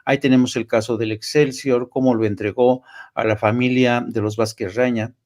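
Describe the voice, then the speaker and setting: Mexican journalist guy talking politics unscripted, average pitch, stresses stuff, halting and repeats words. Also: steady tone, a little mellow. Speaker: journalist, guest commentator.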